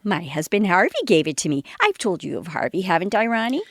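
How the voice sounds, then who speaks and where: A woman acts out an elderly widow from next door in a radio comedy. She is talkative, sentimental and full of old-fashioned exclamations. Her pitch swoops widely, and the sound is close and dry. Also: very animated, quick pace, no room sound. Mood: excitable, insistent. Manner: rambling, exclaiming, old-fashioned turns of phrase. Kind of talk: comedy radio sketch acting.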